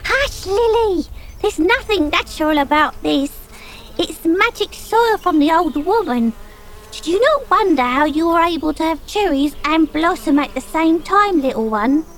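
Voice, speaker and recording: A woman voicing a cautious, scolding rose flower with a hushed, bossy manner, for a children's audio drama. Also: very high-pitched, lively intonation, a little slow, slight background noise, rough recording. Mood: hushing, cautious.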